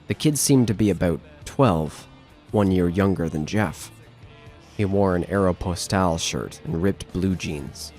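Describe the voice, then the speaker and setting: A man's voice: low-pitched, measured pace, a little mellow, soft background music. A man narrating a scripted horror story as the narrator of an audio drama.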